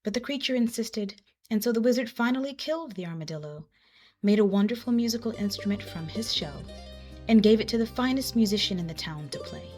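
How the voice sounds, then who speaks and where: A woman storyteller narrating a children's folktale in an expressive style. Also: fairly high pitch, brisk. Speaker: storyteller and host. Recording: music behind the voice.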